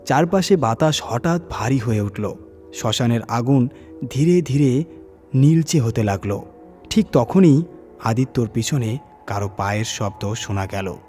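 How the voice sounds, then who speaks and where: A man reading a scripted horror story dramatically, with suspenseful pauses and emphasis. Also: medium pitch, lively intonation, mellow, faint music behind. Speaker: horror story reader and channel host.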